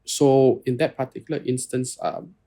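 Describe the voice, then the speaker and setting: A man with a Singaporean English accent and a gravelly, husky voice, talking in a relaxed interview. He speaks earnestly and often restarts his phrases. His pitch sits in the middle range. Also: normal speed. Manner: earnest, repeats "you know" and restarts phrases. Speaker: guest lawyer. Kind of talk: conversational interview answers.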